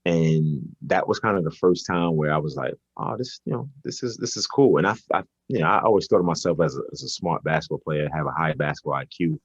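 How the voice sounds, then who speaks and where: A man's voice: rumbling low, animated, fairly quick, hardly any pauses, slightly rough, no echo, highs cut off. A man, a basketball coach answering interview questions conversationally, hesitating and repeating words as he thinks. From Brooklyn, New York, United States. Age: 40s.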